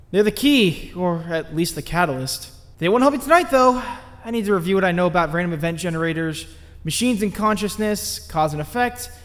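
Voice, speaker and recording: A man's voice: high-pitched, a bit bright, long reverb tail. A man playing an earnest, intense teenage boy who muses about fate in a comic dub. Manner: earnest, musing, introspective. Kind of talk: comic dub acting with first-person narration.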